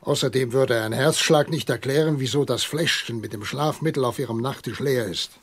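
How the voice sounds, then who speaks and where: An actor playing a weary old country doctor in a radio drama, grave and probing, speaking in solemn, halting questions. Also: male voice, medium pitch, husky, close to an everyday voice. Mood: firm, accusing. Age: older.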